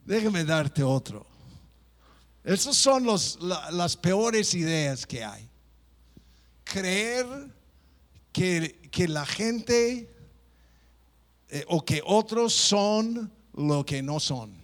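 A man's voice: high voice, very animated, extremely slow, long pauses. A middle-aged man preaching a sermon, playful, mimicking people, with stop-start phrasing. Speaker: pastor.

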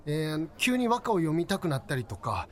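A man's voice: on the high side, big pitch swings, moderate pace. A man with a Kansai Japanese accent playing an earnest, lovestruck salaryman in a comedic radio drama, grand and a little awkward. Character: earnest lovestruck salaryman regular at a tea shop.

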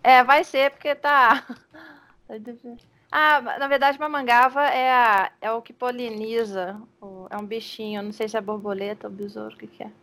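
A woman's voice: a little high, fairly even intonation, moderate pace, fairly bright tone. A woman, playing a character in a tabletop game and thinking aloud hesitantly, laughing often. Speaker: player. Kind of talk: casual tabletop play.

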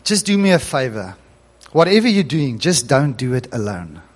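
A man's voice: mid-range voice, very animated, normal speed. A male pastor whose first language is Afrikaans, telling a story in an earnest sermon that builds to emphatic preaching.

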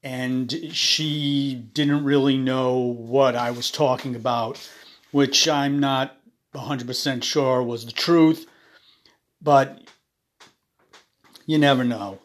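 A man hosting his own podcast while ill, his voice raspy, talking haltingly with many ums and uhs into a phone. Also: mid-range voice, steady tone. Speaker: podcast host. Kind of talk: casual solo podcast monologue.

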